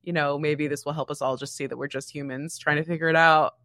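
Deep-voiced woman, wry and teasing. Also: fairly even intonation, fast, fairly bright tone, no room sound, studio quality. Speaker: podcast co-host. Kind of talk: casual comedic chat.

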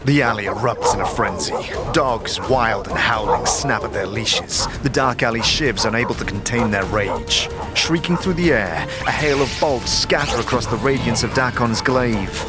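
A man's voice: mid-range voice, normal speed, few pauses. A man narrating a fantasy audio drama in a dramatic, scripted storytelling style. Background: prominent music.

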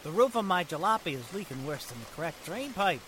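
A man's voice: a little high, sing-song, fast. A man playing a brash, teasing teenage boyfriend with cocky, slangy speech, in the style of 1940s radio drama. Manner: slangy, cocky, casual grammar. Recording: noisy recording with rain.

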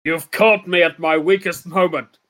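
A man's voice: sits high, steady tone, normal speed, fairly bright tone. A man voicing a vain, scheming old wizard in a grand, theatrical manner for an improvised comedy scene. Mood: brooding.

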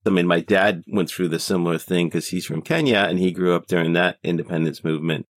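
A man's voice: low-pitched, steady tone, fast talker, dry recording, clean studio sound. A man hosting a podcast, interviewing in a casual, conversational style. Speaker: podcast host.

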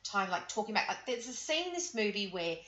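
A woman's voice: mid-range voice, big pitch swings, brisk, sharp tone. An Australian woman in her forties chatting casually as a podcast co-host, outspoken and swearing freely. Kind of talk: casual chat. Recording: roomy dull-sounding recording.

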